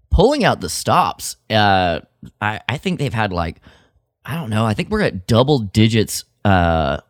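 A man co-hosting a podcast, chatting casually in a hesitant, halting way. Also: on the low side, dry recording, clean studio sound. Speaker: podcast co-host. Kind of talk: casual chat.

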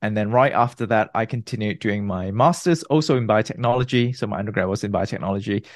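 Low-voiced Malaysian-born man explaining. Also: no room sound, studio quality. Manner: explanatory, measured, with restarts. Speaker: guest researcher. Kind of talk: interview answers.

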